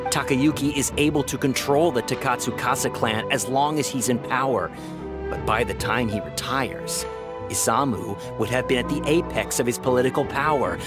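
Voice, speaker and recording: A man's voice: medium pitch, lightly acted. Young policeman for a game, played by a man: pushy, speculative, sure of his theories, over audible music. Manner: insistent, speculative, emphatic. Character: opinionated younger policeman, insistent conspiracy theorist. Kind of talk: video game voice acting, dialogue.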